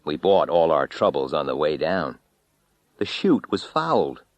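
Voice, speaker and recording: A man narrating a first-person story as an audiobook reader, expressive and brooding, with dramatic pauses. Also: a bass voice, no echo.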